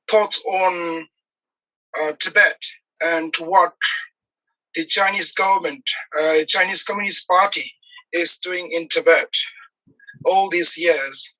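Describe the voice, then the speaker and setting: A man in his forties from Nepal, speaking as an interview guest over a video call, earnest and halting with many pauses and fillers. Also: high voice, bright, forceful tone, poor audio. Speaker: guest, activist. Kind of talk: earnest personal account in an interview.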